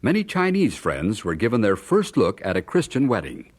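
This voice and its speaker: A man's voice: on the low side, wildly swooping pitch, moderate pace, a crowd in the background. A man narrating a scripted audio drama as a storyteller.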